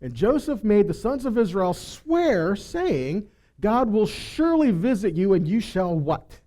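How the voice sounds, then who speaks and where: A man's voice: high-pitched, big pitch swings, unhurried, warm tone. A man giving a Bible teaching, reading scripture and putting rhetorical questions to his listeners.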